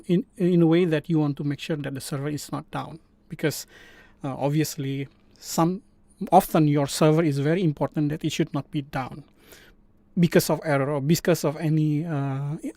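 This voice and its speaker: Indonesian guy hosting a coding stream, kinda high voice, lots of ums and restarts. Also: mellow, no room sound. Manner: halting, many fillers and restarts. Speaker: live stream host. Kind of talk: casual coding stream chat.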